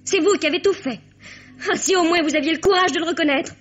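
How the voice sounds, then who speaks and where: A woman's voice: extremely high pitch, fast talker. An actress playing an indignant, wounded teenage girl in a French radio mystery, accusing and impassioned. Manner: accusing, impassioned, formal address. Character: indignant, wounded teenage girl confronting her father's mistress. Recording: dull, lo-fi vintage radio sound.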